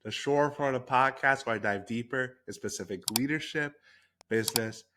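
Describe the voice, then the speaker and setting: A man's voice: medium pitch, normal speed, pushed and bright, slight background noise. A man with a stuffy, slightly nasal voice from a head cold, hosting his own podcast and telling a personal story in a candid, conversational way. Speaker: podcast host. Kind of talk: solo podcast monologue.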